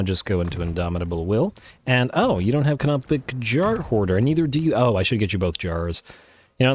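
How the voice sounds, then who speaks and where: A man thinking aloud while playing a strategy game, full of fillers and restarts, his voice worn and going hoarse. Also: fairly low pitch, darkish tone. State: losing his voice. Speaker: let's play host. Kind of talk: casual strategy game commentary.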